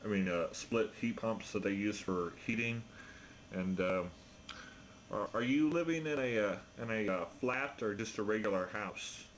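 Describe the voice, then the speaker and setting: Low-voiced American man, casual chat. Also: normal speed, a little hiss or hum. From United States. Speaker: amateur radio operator. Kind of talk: casual ham radio chat.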